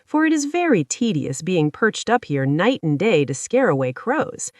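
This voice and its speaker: A husky-voiced straw-stuffed scarecrow fellow, played by a woman reading a storybook. He is courteous, earnest and confiding, with a wistful streak. His pitch leaps about freely in a dry recording. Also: sing-song, moderate pace, dry recording. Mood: polite complaint.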